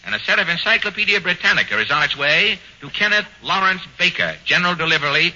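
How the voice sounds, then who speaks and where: A man hosting a 1940s radio comedy, dry and mock-stern with quick quips. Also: sits high, expressive, pushed and bright. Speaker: comedy show host. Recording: dull old radio broadcast, faint hiss.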